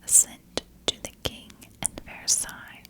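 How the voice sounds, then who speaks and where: A woman tells a story in a hushed, breathy whisper in the ASMR style. Her delivery is very unhurried, with measured, deliberate phrasing. The voice stays soft and unvoiced throughout. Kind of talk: whispered ASMR narration of a historical mystery.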